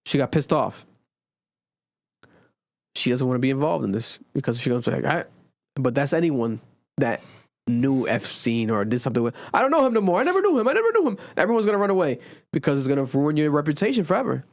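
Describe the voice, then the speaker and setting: A man in his twenties hosting a casual podcast, talking animatedly and jumping high in pitch when he jokes. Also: very animated, brisk, no room sound. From Rhode Island, USA. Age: 20s. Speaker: podcast host. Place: home recording during a blizzard.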